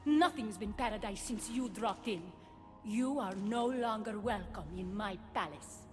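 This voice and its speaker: A woman's voice: medium pitch, a little slow, lightly acted. An actress playing an imperious, suspicious goddess queen of the underworld in a video game, with a commanding, cutting, theatrical delivery. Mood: cold, suspicious. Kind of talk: dramatic video game acting. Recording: long hall reverb, music behind.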